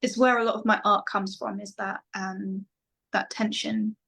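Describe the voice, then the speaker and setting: A woman's voice: medium pitch, flat delivery, normal speed, gravelly, pushed and bright, husky. Woman, young adult, from England, interview guest, reflective, many fillers. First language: Farsi. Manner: reflective, with frequent fillers and restarts. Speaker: guest musician. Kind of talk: casual interview talk.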